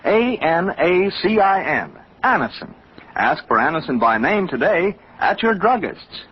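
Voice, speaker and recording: A man's voice: sits high, sing-song, unhurried, noisy background. An American man doing polished, emphatic vintage radio announcing and ad reads. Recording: muffled old radio, music behind.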